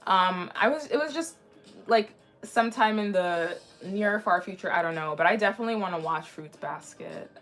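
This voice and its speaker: A woman in her twenties chatting casually on a livestream in a rambling way, slightly raspy from a sore throat. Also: medium pitch, normal speed, fairly bright tone. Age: 20s. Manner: chatty, rambling, frequently restarting sentences. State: mildly sick with a sore throat. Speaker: anime livestream host. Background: background music.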